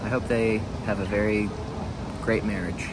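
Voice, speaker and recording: A man's voice: lowish voice, level tone, moderate pace. A man in his twenties talking to his phone at arm's length, rambling and ranting casually while out in a city. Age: 20s. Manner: chatty, rambling, ranting with laughs. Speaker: travel vlogger. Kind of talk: casual travel vlog to camera. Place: outdoors in a city, then a busy bar. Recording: loud street noise and crowd chatter.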